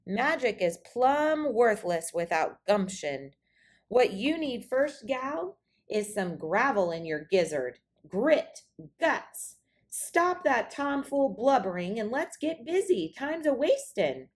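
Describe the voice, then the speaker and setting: A woman voicing a feisty little old Western fairy godmother in a storybook, brisk and bossy, with folksy cowgirl talk. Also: fairly high pitch, big pitch swings, exaggerated voice. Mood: brisk scolding.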